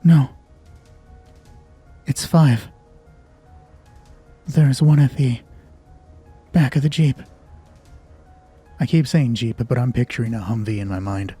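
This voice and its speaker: A man with a soft, dark and husky voice hosts a solo tabletop game. He thinks aloud conversationally between narration, close on a dry mic with faint music behind him. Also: medium pitch, steady tone, slow pace, pauses often. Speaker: solo game master, channel host. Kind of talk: solo tabletop roleplay narration with casual asides.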